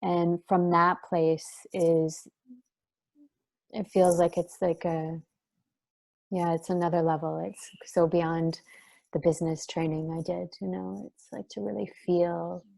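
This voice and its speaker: A woman with a soft voice, talking in a gentle, searching way as an interview guest, with many fillers and restarts. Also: lowish voice, flat delivery, slow, gravelly, poor audio. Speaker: guest, breathwork trainer. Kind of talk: reflective interview talk.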